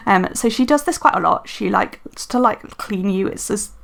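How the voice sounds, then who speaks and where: A woman talks in a loose, rambling way, full of "um"s and "like"s and small restarts. Her voice is slightly croaky from a sore throat, with a gravelly edge running through it. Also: mid-range voice, animated, normal speed. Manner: chatty, rambling, many fillers and self-corrections. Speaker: planner and book vlogger. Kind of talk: casual chat while decorating a planner.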